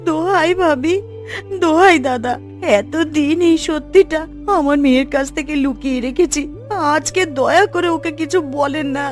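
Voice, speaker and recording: A sorrowful village mother from a cartoon, acted by a woman, speaks in a high voice. She is tender and pleading, with faint music underneath. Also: moderate pace, lightly acted. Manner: pleading, emotional. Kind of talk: Bengali cartoon voice acting.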